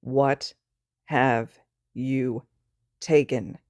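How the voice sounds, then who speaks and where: A woman's voice: a bass voice, extremely slow, dry recording, clean studio sound, exaggerated voice. Woman voicing a tired, stern male teacher. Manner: flat, stern, deadpan. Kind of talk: fan fiction read aloud.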